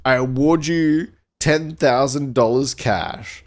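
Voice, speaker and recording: A man's voice: mid-range voice, slow, a little mellow, pitched above the performer's own voice, lightly acted. A man voicing a grateful, formal president who speaks in a ceremonial, proclaiming way. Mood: grateful, grand.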